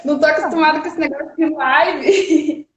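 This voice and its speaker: Brief: high-pitched woman in her thirties with a Paraná Brazilian accent, nervous and chatty, on a muffled, echoey phone livestream. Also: fairly even intonation, moderate pace, background noise. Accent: Paraná Brazilian Portuguese. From Rio de Janeiro, Brazil. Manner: chatty, nervous, laughs often, many fillers. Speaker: guest. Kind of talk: casual livestream chat. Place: her parents' house, indoors. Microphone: phone on a charger. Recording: muffled, roomy livestream audio.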